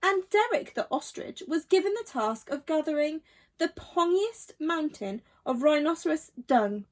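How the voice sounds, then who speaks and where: High-voiced, animated woman storyteller. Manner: dramatic, with emphatic pauses and sound words. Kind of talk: expressive children's bedtime story reading.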